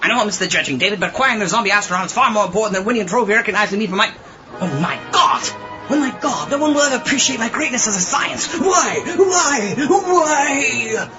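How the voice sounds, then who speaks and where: A man playing a vain, melodramatic, excitable mad scientist in a comedic audio drama. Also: high voice, fast, raised voice, muffled recording, over loud music. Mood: wailing despair. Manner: histrionic, excitable.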